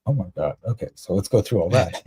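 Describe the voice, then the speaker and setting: A man's voice: on the low side, expressive, moderate pace, warm tone, husky. A man from Canada telling personal stories casually as a podcast guest. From Canada, Toronto area. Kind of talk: casual chat, personal storytelling.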